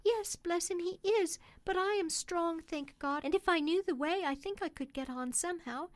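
A woman's voice: extremely high pitch, normal speed, no room sound, pitched above the performer's own voice. A woman voicing a poor young country mother in an audiobook story, earnest, polite and pleading. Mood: earnest, resolute.

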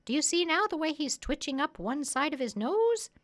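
A high-pitched, squawky female parrot, proud and sure of herself. She speaks emphatically and loudly, like a storybook know-it-all giving instructions. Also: sing-song, normal speed. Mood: instructive. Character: bossy, proud, clever old female parrot.

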